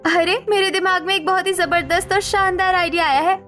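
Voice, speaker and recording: A woman's voice: very high voice, steady tone, brisk, fairly bright tone, faint music behind. A woman voicing a young, supportive and assertive daughter-in-law in a cartoon family drama, earnest and firm. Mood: excited.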